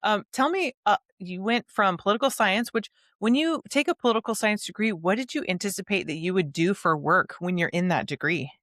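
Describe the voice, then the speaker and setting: A woman's voice: mid-range voice, lively intonation, fairly quick, few pauses, fairly bright tone, no room sound, studio quality. An American woman hosting an interview, enthusiastic and gushing, laughing often between questions. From United States. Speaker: podcast host. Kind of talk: interview chat.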